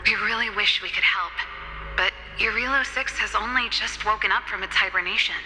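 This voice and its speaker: An actress playing a casual, upbeat, chatty woman ally in a video game, with an informal, lively manner. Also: medium pitch, a little fast, long reverb tail, soft background music, poor audio. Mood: earnest.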